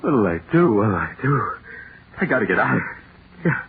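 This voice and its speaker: An actor playing a frantic, haunted writer accused of murder, pleading insistently in 1940s radio horror style. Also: male voice, fairly low pitch, sing-song, moderate pace, slightly rough, exaggerated voice. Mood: panicked. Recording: scratchy, tinny old radio broadcast recording.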